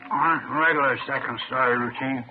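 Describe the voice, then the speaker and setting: An American actor playing a chatty, ingratiating police informant and racetrack hustler who talks in slang, in a 1950s radio crime drama. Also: male voice, mid-range voice, fairly even intonation, normal speed, natural voice. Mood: chatty, eager. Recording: tinny, noisy vintage radio recording.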